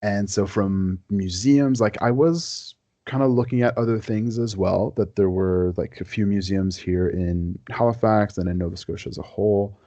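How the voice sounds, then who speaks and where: A young man from Quebec, a native French speaker, speaks in a very low, mellow voice. He talks earnestly and easily, repeating words and filling pauses as a podcast guest. The sound is dry and close, with no room around it. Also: no echo, lo-fi sound. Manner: earnest, relaxed, with many fillers and repeated words. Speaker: guest historian. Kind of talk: casual podcast interview.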